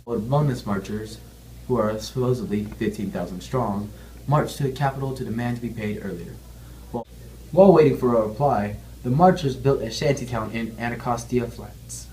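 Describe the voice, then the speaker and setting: Young guy reading news off a script, normal pitch, bit of background noise. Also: normal speed, mellow, rough recording. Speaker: news anchor and reporter in an amateur newscast.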